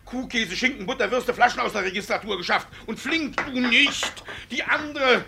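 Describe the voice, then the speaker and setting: Blustering, gravelly village judge. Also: male voice, extremely high pitch, moderate pace, noisy background. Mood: angry, barking. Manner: blustering, excitable, barking orders, cursing. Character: blustering, cunning, guilty village judge, gruff and flustered. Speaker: actor in lead role. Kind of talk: classic German stage comedy as radio play.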